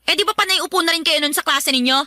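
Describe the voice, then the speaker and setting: Fast, high-pitched nagging Filipina stepmother. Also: sharp tone, dry recording, studio quality. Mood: nagging, irritated. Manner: scolding, indignant, accusatory. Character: nagging, scornful stepmother, adult woman. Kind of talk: Filipino radio drama acting.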